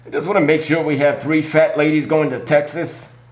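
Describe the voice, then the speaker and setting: A man making a prank phone call as an airline agent, with polite but halting scripted patter. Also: on the high side, little pitch movement, reverberant. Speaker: prank caller.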